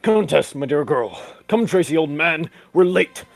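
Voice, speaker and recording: A man playing a pompous, exclamatory old-fashioned gentleman in a 1940s-style radio play. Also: a little high, lively intonation, unhurried, vocal fry, heavy background noise. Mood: hurried.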